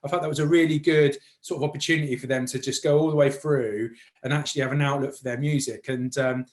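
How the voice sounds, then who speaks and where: A man's voice: medium pitch, fairly even intonation, fast talker. A man hosting a casual interview, speaking in an informal, meandering and appreciative way. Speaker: interviewer and host.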